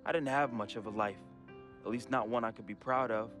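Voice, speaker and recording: A man's voice: mid-range voice, steady tone, a little fast, fairly bright tone, faint music behind, lightly acted. A man voicing a heartfelt, troubled young man. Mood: somber. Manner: heartfelt, confessional, casual slang. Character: troubled young man, a friend writing a farewell letter. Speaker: game character narrating a farewell letter. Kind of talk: emotional voice-over monologue. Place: studio voice-over.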